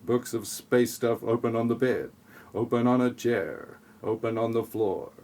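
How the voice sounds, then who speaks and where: Deadpan man narrating an audio play. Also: mid-range voice, a little slow. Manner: deadpan, sarcastic asides. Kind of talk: tongue-in-cheek scripted audio play narration.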